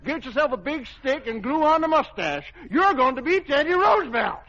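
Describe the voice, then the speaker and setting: An actor in an old radio comedy playing an eccentric, boastful old military general with a folksy, blustering manner. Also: male voice, extremely high pitch, very animated, normal speed, heavily acted. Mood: boastful, eager. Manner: folksy, blustering, barking commands. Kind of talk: 1940s-50s radio comedy acting. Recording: muffled old radio recording, faint noise.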